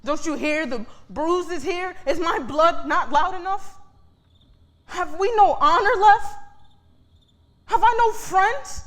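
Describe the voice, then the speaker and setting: A woman's voice: very high voice, slow, long pauses. A woman playing a battered, defiant young woman in a staged reading, shaming her townsfolk in impassioned, declamatory speech. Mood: anguished fury.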